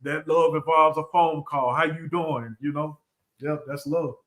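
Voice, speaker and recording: A man with a slightly high voice co-hosts a casual conversation about faith. He interjects in short phrases and repeats them for emphasis. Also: steady tone, measured pace, a bit bright. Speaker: co-host.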